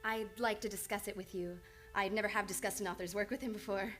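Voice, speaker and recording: A woman's voice: medium pitch, fairly even intonation, quick pace, fairly bright tone, natural voice. An actress playing an earnest, reserved woman psychiatrist in an old-time radio drama, speaking formally and precisely. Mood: nervous, confessing. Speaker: radio play actor. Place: radio studio.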